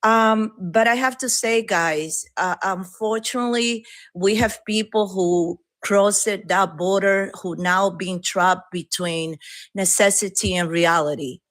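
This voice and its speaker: A woman's voice: mid-range voice, takes it slowly, a bit bright, no room sound. A woman from Latin America with a Latin American Spanish accent, earnestly explaining her views at length on a live panel. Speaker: caller.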